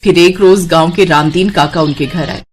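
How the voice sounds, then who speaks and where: A woman narrating a scripted children's moral story in an expressive storybook style. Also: fairly low pitch, moderate pace, slight background noise.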